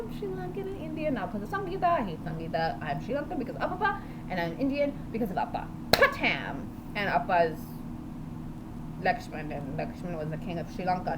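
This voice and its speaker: A woman talking in an unscripted video diary, rambling and jumping from topic to topic, blunt and quick to swear. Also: mid-range voice. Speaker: vlogger. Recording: steady background noise with passing vehicles.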